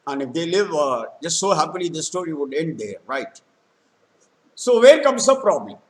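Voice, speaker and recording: A man telling a folktale in a halting, explanatory way, with frequent hesitant pauses. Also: fairly high pitch, sing-song, rough recording. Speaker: storyteller. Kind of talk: spoken folktale retelling with vocabulary explanations.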